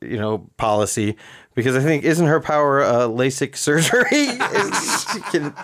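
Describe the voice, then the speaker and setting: A man's voice: fairly high pitch, lively intonation, normal speed, crowd noise. A man co-hosting a casual movie podcast, asking questions with frequent fillers. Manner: asks questions, hesitant with fillers. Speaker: podcast co-host. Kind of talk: casual movie recap chat.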